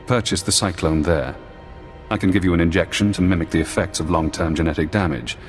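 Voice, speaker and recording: Man playing a very deep, controlling ex-spy. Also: natural voice. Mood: calculating. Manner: measured, clipped, commanding. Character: paranoid, brilliant imprisoned ex-intelligence analyst, cool and controlling. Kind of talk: video game voice acting. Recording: long hall-like reverb, music behind. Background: game music.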